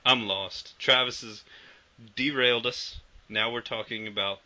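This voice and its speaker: A man's voice: mid-range voice, fairly even intonation, a little slow, sharp tone. A man co-hosting a podcast in casual chat, rambling and swearing casually. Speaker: podcast co-host. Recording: dull lo-fi podcast audio with faint noise.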